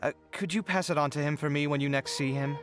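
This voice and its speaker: A man playing a weary, proud young genius in a video game, with expressive, hesitant delivery. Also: on the high side, fast, faint music behind, natural voice. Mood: casual, protesting.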